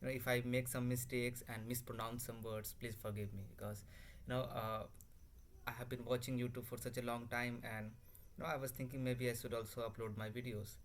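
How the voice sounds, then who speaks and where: Young man from India, native Hindi speaker, halting casual talk, average pitch, laptop mic, faint room hum. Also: little pitch movement. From India, Bihar. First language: Hindi or Bhojpuri. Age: young adult. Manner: hesitant, with many fillers and restarts. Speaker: language learner vlogger. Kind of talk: unscripted casual talk to camera. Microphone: laptop microphone.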